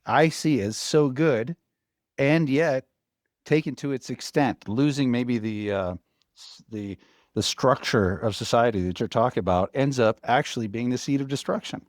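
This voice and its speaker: A man co-hosting an interview who speaks haltingly, searching for his words. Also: mid-range voice, no echo, clean studio sound. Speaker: co-host. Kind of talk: interview discussion.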